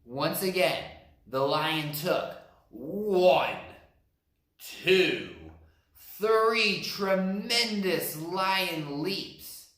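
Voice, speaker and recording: Brief: male teacher reading to kids, bright voice, lively pitch. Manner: slow, expressive narration. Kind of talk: storybook read-aloud. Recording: roomy echo.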